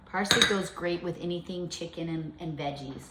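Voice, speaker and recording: A woman's voice: fairly low pitch, normal speed, roomy sound, very noisy. A woman in her fifties giving a chatty, step-by-step home cooking demonstration in her kitchen. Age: 50s.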